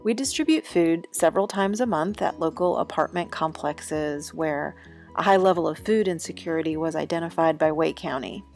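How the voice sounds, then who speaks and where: A woman reads a steady, informative script in a lowish voice with a gravelly edge. Soft music plays faintly behind her. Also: normal speed. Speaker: ministry volunteer. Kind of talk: scripted narration.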